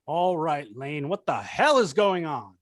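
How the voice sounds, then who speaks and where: Guy doing angry rich bad guy, highish voice, swingy pitch, blustery, table read. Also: sing-song, measured pace, fairly bright tone. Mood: irate. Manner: irritated, blustering. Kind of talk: amateur table read of a film script.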